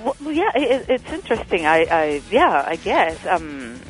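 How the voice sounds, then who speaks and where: A woman from Venezuela with a Venezuelan Spanish accent, a Spanish-speaking dubbing actress calling into a radio show, hesitant and halting as she searches for words. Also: lowish voice, sing-song, soft background music, low-quality recording. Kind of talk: phone-in chat.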